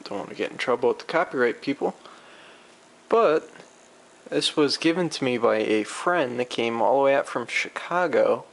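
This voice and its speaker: A man giving a casual, unscripted demonstration of vintage audio gear, with a gravelly voice and a rambling, halting way of explaining. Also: mid-range voice, animated. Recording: amateur camera recording with background noise.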